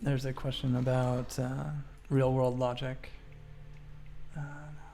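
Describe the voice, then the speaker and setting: A man giving casual livestream commentary as he plays a game, answering questions in a thoughtful, halting, reflective way. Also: medium pitch, little pitch movement, background noise. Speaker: game streamer.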